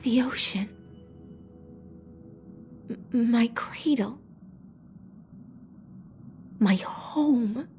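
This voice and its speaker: An actress voicing a solemn, enigmatic oracle in a video game, grave and poetic, with a hushed, measured delivery. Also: female voice, fairly high pitch, soft background music. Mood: hushed, reverent. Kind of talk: scripted video game voice acting.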